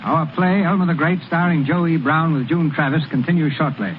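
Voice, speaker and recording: A man's voice: a little high, fairly quick. A man hosting a radio show in formal, scripted 1930s announcing style. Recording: tinny, hissy 1930s radio recording.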